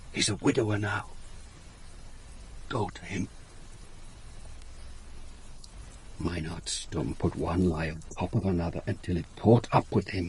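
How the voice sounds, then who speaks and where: Hard-boiled police inspector guy, super husky voice, world-weary and blunt, radio play stuff. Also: on the low side, a little mellow, poor audio. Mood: grave, bitter. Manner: terse, commanding, hard-boiled. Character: world-weary, blunt male Dutch police inspector narrating his case. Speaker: actor playing a police inspector. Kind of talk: radio drama acting and first-person narration.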